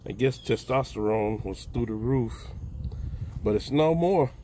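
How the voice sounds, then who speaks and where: A man's voice: mid-range voice, a little slow, slight background noise. Folksy older man talking outdoors. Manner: folksy, relaxed, talks while plucking, calls out "baby". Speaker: backyard homesteader. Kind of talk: casual how-to narration while working. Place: outdoor backyard. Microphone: phone camera held close, hands-on filming.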